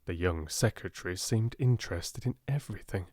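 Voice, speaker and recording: A man with a British accent reading a storybook aloud as an audiobook narrator, softly spoken. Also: deep voice, expressive, normal speed, no room sound, studio quality.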